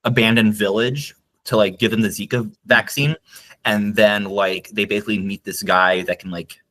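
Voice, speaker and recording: A man's voice: fairly low pitch, fairly even intonation, moderate pace, bright, forceful tone, low-quality recording. A man co-hosting a podcast in casual chat, hesitant and full of "um" and "like". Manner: hesitant, lots of um and like fillers. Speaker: podcast co-host.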